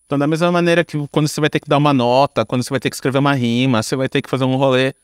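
A man's voice: medium pitch, steady tone, rapid-fire, smooth, dry recording, clean studio sound. A man with a Brazilian accent giving casual interview answers, speaking informally with slang and frequent tag questions. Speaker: guest, screenwriter and filmmaker.

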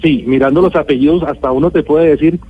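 Husky Colombian man on a phone-like line. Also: a little high, steady tone, fast talker. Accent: Colombian Spanish. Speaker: genetics expert, interview guest. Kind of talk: radio interview, explaining. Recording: narrow phone-like line, music.

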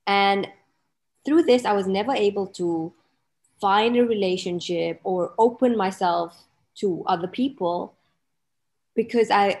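A woman from Malawi speaks as a relationship coach in a podcast interview. She is reflective and hesitant, with many fillers and restarts as she tells her own story. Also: mid-range voice.

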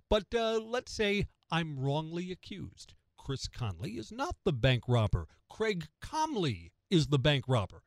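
A man's voice: high voice, no room sound. An American man giving conversational opinion commentary as a radio host, emphatic, asking questions and answering them himself. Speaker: radio talk show host.

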